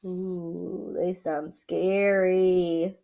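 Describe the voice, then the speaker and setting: A woman's voice: on the low side, vocal fry. A woman reading a children's picture book aloud expressively, drawing out phrases and adding simple asides for young listeners. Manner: expressive, drawn-out delivery. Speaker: storybook reader.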